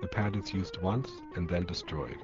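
A man's voice: low-pitched, moderate pace. A man reading scripted first-person narration for a radio documentary. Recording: dull, lo-fi radio, loud sound beds. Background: loud music and shortwave sounds.

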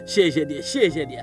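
An actor playing a genial, wealthy middle-aged villa owner in a folk-tale cartoon, with a husky voice and effusive, courteous delivery. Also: male voice, fairly high pitch.

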